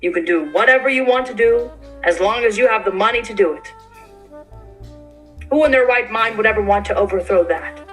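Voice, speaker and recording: A self-satisfied 1940s Hollywood publisher acted by a woman holds forth in a theatrical monologue. The delivery is conversational and boastful, with a wry confiding edge and a medium-pitched voice. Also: brisk, a bit bright, faint music behind, lightly acted, low-quality recording. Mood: self-righteous, firm. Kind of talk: theatrical monologue in a staged play reading.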